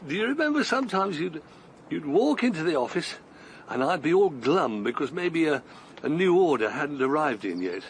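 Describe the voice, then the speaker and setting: A man's voice: fairly high pitch, very animated, a little slow, long pauses, slight background noise. An actor playing an older man out on a long walk, breathless and wondering, chuckling to himself in a radio drama. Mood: wistful.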